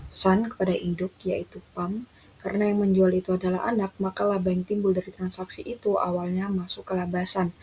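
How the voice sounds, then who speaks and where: A woman's voice: mid-range voice, a little mellow, low-quality recording. A young adult woman, presenting as a student in a formal, structured way. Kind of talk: explaining an accounting case. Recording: clear background noise, room ambience.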